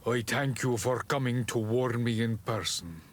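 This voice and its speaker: A man playing a proud, solemn native island warrior in a video game, with a deliberate and ceremonial manner. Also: mid-range voice, a little hiss or hum, lightly acted. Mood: grudging, grave. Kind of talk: video game cutscene dialogue acting.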